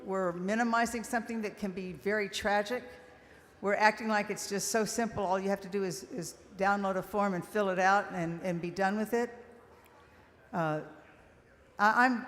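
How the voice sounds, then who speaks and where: Earnest woman legislator in a reverberant chamber. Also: mid-range voice. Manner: earnest, concerned, some stammering. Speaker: state representative. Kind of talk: floor debate speech.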